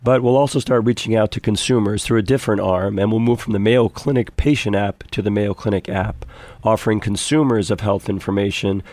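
A hoarse man, a physician guest, speaking in a polished, promotional way during a radio studio interview. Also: fairly low pitch, a little fast, a little mellow, no room sound.

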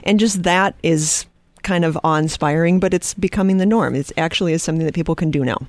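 A woman's voice: fairly low pitch, quick pace, no echo. A woman co-hosting a radio show in a studio, enthusiastic and reading announcements.

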